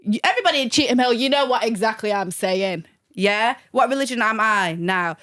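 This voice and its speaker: A woman's voice: on the high side, a little fast, dry recording, studio quality. A young woman, talking casually and animatedly as a guest in an interview, with many fillers. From Manchester, England. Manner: animated, chatty, hesitant with fillers.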